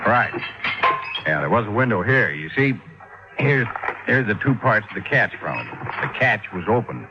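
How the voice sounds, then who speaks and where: An actor playing a gruff, methodical middle-aged fire chief, explaining things insistently in vintage radio drama style. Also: male voice, fairly low pitch, animated, normal speed, music in the background, close to an everyday voice. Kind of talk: 1950s-60s radio acting. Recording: muffled, noisy vintage radio recording.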